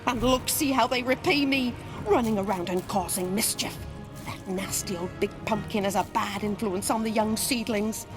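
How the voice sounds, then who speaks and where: A woman playing a doting, excitable and genteel gardener in a video game, with a theatrical, quaint manner. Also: mid-range voice, moderate pace, close to an everyday voice. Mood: indignant. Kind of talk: video game character acting. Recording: music behind the voice.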